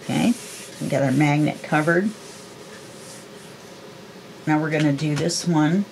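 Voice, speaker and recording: A woman's voice: low voice, unhurried, vocal fry, darkish tone, slight background noise, rough recording. A woman of about sixty chatting casually through a craft demonstration, thinking aloud in a folksy, self-deprecating way. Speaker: crafter presenting a tutorial. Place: indoors at a craft desk.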